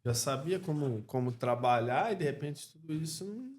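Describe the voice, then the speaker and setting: A Brazilian man speaking as a podcast guest in a casual interview, reflective and halting, often checking in with short tag questions. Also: on the high side, animated, a little mellow. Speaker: podcast guest, clothing store co-founder.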